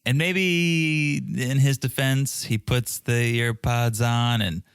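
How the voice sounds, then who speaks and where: A man's voice: medium pitch, steady tone, takes it slowly, clean tone, no echo, studio quality. A man co-hosting a podcast, chatting casually and jokingly about a reality show. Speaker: podcast co-host.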